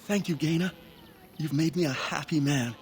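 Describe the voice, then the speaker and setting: An actor playing a young elf hunter and suitor, earnest and formal, in fantasy video game dialogue. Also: male voice, on the high side, normal speed, slight background noise, lightly acted. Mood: grateful, happy.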